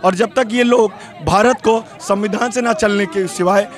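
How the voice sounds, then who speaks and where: A man's voice: sits high, fairly even intonation, normal speed. A young male student activist giving fiery answers into a handheld mic at an outdoor street protest. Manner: forceful, fiery political speech. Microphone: handheld reporter mic. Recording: outdoor protest with crowd behind. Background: crowd noise.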